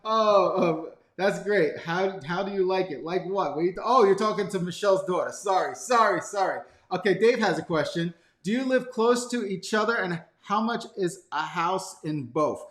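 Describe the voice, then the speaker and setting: A man's voice: high-pitched, normal speed, few pauses, a bit bright. A middle-aged man, chatting casually as the outspoken, jokey host of a live Q&A. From New York. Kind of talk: casual livestream chat. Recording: echoey room sound.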